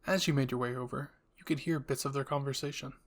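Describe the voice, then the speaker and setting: A man's voice: medium pitch, steady tone, fast talker. Man narrating a scripted roleplay story.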